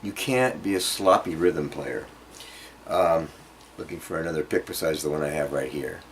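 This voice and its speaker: A man giving an informal guitar lesson, explaining things directly to the learner in a halting, conversational way. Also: extremely low pitch, slight background noise. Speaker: guitar teacher. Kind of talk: informal instructional music lesson to camera.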